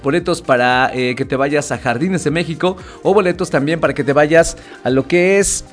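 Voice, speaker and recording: Give me a Mexican man hosting a chatty morning radio show. His voice sits a bit high, he speaks straight to listeners, and soft music runs behind him in the studio. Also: normal speed. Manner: chatty, addresses listeners directly, repeats phone numbers. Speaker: radio host. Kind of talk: casual morning radio talk with promos.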